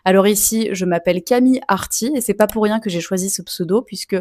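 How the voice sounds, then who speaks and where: A woman's voice: medium pitch, quick pace, darkish tone, no room sound, clean studio sound. A young woman, a mezzo-soprano student, telling a personal story to camera in a chatty way with lots of asides and fillers. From Sicilian heritage.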